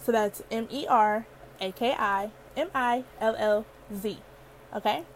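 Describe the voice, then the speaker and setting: Young woman podcaster, girlish and higher-pitched, chatty and laughing, faint hiss under the voice. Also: a little slow. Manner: chatty, playful, laughs often. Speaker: podcast host. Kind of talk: casual solo podcast chat.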